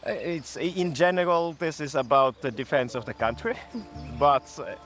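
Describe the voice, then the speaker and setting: A man's voice: fairly high pitch, normal speed, noisy background. A man on a military course, explaining it to an interviewer in a conversational way.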